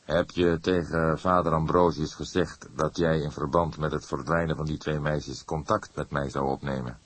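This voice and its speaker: A man reading the part of an old police detective in an audiobook, patient and hesitant, with measured, probing questions. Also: a bass voice, fairly even intonation, no room sound.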